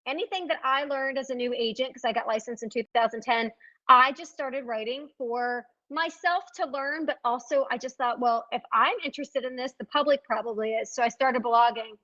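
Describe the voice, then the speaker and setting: Upbeat high-voiced woman, lo-fi sound. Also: bright, forceful tone. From Richmond, Virginia. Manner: upbeat, folksy, enthusiastic. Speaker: real estate agent, panel speaker. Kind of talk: conference panel talk. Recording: dull lo-fi sound.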